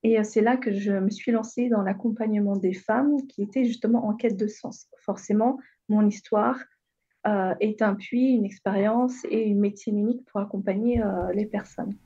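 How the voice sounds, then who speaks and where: A woman's voice: mid-range voice, steady tone, normal speed, dark tone, slightly rough, lo-fi sound. A woman in her thirties from Réunion, telling her personal story as a radio guest, hesitant with many fillers. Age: 30s.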